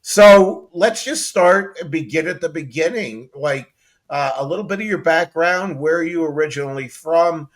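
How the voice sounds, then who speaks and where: A man's voice: on the high side, normal speed. A man hosting a talk show in a conversational interview style.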